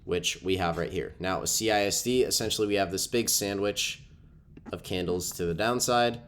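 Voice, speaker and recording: A man's voice: low-pitched, normal speed, a bit bright, a little hiss or hum. A young man with a stuffy, cold-affected voice, casually explaining a trading setup over a screen recording with lots of ums. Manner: casual, filler-heavy explaining with frequent ums. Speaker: trading educator. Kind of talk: screen-share trading walkthrough.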